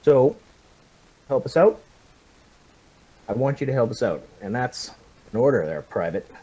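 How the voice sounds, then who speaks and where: A man playing a stern commanding officer in a tabletop game, measured and persuasive as he questions a prisoner. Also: medium pitch, big pitch swings, dark tone, poor audio.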